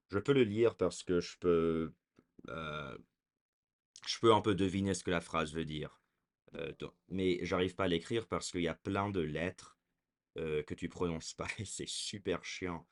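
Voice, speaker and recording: A man in his twenties talking casually to a camera, hesitant, with frequent fillers and restarts. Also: low-pitched. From raised in America. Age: 20s. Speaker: vlogger.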